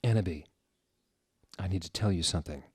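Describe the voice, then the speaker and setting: A man puts on a touchy, brooding wizard from a goth fan fiction parody. He is self-serious and melodramatic, with a lowish voice in a dry recording. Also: brisk, a little mellow, breathy edge, dry recording.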